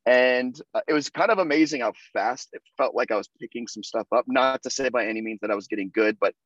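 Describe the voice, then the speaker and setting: Fast-talking young man, dull dry sound. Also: mid-range voice, fairly bright tone, no echo. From United States. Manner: chatty, lots of fillers and restarts. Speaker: podcast guest. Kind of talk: casual interview conversation.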